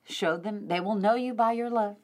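A middle-aged woman hosting a podcast, telling a story in an animated, casual way and addressing listeners directly. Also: medium pitch, normal speed. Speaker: podcast host.

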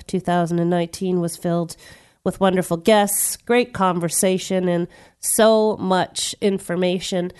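A woman's voice: mid-range voice, smooth. A woman hosting a radio health talk show, nasal from the tail end of a cold and talking in a chatty, upbeat way. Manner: warm, chatty, upbeat and welcoming.

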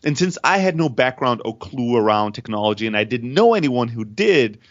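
A man's voice: medium pitch, moderate pace. A man in his thirties from Greece, raised in Germany, a startup founder telling his story in an interview with energy and many restarts. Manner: energetic, frequent restarts and repeated words. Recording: slightly dull lo-fi audio.

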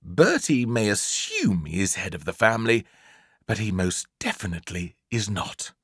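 A man's voice: lowish voice, huge pitch swings, moderate pace, fairly bright tone, slightly rough, no room sound, clean studio sound. A man with an English accent narrating a comic children's story in a theatrical, emphatic way, drawing out key words. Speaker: audiobook narrator.

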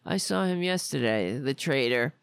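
A woman's voice: extremely low pitch, lively intonation, moderate pace, gravelly, clean tone, dry recording, clean studio sound. A woman hosting a political podcast in a rambling, indignant, sarcastic way, saying she is losing her voice. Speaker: podcast host. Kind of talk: casual political commentary.

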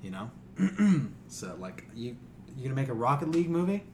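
A man's voice: mid-range voice, very animated, moderate pace, darkish tone. Casual man, opinionated, over background noise. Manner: opinionated, profane, slangy. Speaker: podcast co-host. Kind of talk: casual chat.

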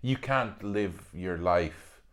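A man's voice: deep voice, slow pace, fairly bright tone. A man speaking as a guest in a casual interview, telling stories and reflecting openly with frequent restarts. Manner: reflective, candid, full of restarts.

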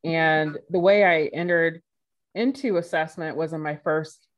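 Low-voiced woman, animated podcast guest. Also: unhurried. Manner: animated, laughs while telling stories. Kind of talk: conversational interview answers.

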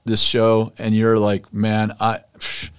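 A man with a low voice hosts his podcast in an enthusiastic, encouraging way. The recording is dry and close. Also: monotone, takes it slowly, clear, resonant tone, dry recording. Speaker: podcast host. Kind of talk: conversational interview.

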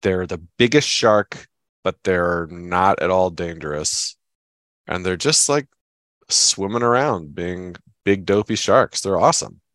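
A stuffed-up man with a low voice, chatting easily as a podcast co-host. He rambles along with enthusiasm. The sound is close and dry, with no room around it. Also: a little slow, a bit bright, no echo. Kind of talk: casual chat.

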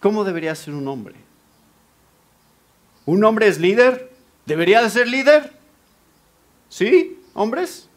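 A man from Mexico with a Mexican Spanish accent, preaching a lively sermon and asking the congregation questions. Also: sits high, slow, long pauses. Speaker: pastor.

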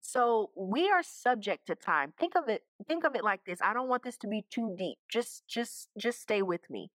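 A woman's voice: on the high side, lively intonation, normal speed, dry recording. A woman giving a casual Bible teaching, talking conversationally and checking in with her listeners. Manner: conversational, keeps checking in with "okay?" and "right?".

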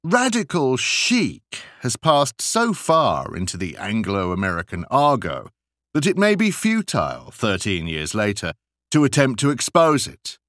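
A man narrating literary essays as an audiobook, with expressive, deliberate and theatrical phrasing. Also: medium pitch, no echo, clean studio sound.

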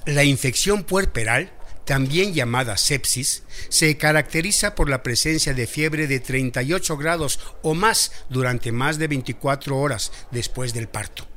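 Bright-voiced instructive Mexican doctor. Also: male voice, medium pitch, unhurried. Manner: didactic, respectful. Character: friendly instructive male doctor teaching village women. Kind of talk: educational radio drama, explanatory.

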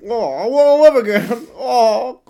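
A man's voice: very high-pitched, lively intonation, measured pace, creaky, warm tone, pitched above the performer's own voice, heavily acted. A man voicing a sentimental, wailing old-movie hero. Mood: pleading.

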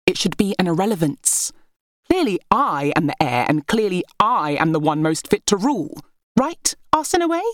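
A woman narrator acts out an imperious young royal heir from a historical storybook. She is proud, scornful and quick to fury, her pitch swooping upward over a dry recording. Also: sing-song, no echo. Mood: haughty fury.

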